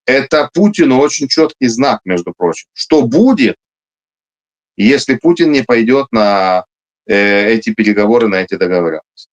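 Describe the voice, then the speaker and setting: A man's voice: mid-range voice, lively intonation, moderate pace. A man who is a military officer, answering interview questions emphatically, with frequent hesitations and restarts. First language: Russian.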